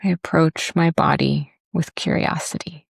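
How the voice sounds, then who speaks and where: Female meditation teacher, gentle and very unhurried, low register with some vocal fry, giving calm body-scan instructions. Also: dry recording. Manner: gentle, unhurried, with long pauses.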